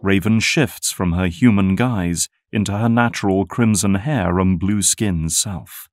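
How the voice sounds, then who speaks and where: A male narrator with a very low voice delivers scripted dramatic narration. He sets scenes for an audio drama, and the sound is dry and close. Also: unhurried, dry recording.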